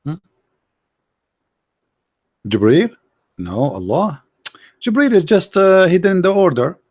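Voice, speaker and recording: Man, higher pitch, dry close sound, mocking, interrupting, live-stream debate host. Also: sing-song, darkish tone, breathy edge, no room sound. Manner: mocking, repetitive, interrupting with rapid questions. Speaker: livestream host debating callers.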